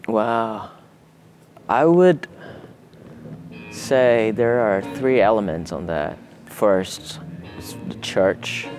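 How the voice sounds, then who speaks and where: Deep-voiced Brazilian guitarist, laid-back. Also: male voice. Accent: Brazilian Portuguese. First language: Portuguese. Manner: relaxed, laid-back, many fillers like "you know" and "man". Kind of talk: casual interview answers. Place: seated in an empty theater hall.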